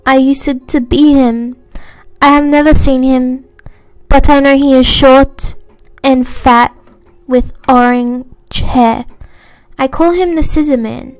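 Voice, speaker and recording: A woman's voice: sits high, a little mellow, no room sound, poor audio. A woman reading a scripted first-person horror story as narrator. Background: faint music.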